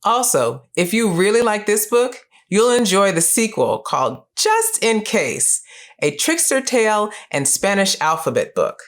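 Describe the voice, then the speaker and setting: A middle-aged woman hosting a book series, giving scripted educational narration in a clear, measured, explanatory way. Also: medium pitch, sing-song. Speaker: host of a children's book review series.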